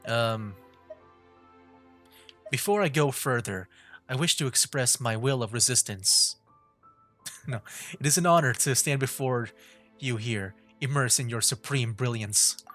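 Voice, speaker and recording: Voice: medium pitch, unhurried, pauses often, a bit bright, faint music behind. A streamer casually commenting on a game, thinking aloud informally and reading dialogue choices out.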